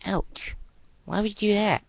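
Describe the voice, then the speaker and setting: A man's voice: high-pitched, fairly even intonation, a little slow, vocal fry, pitched above the performer's own voice. Storybook role: playful, easily wounded girl, played by a man, raised voice, imploring manner, dull recording. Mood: hurt. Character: eager, pleading girl, playful and easily hurt.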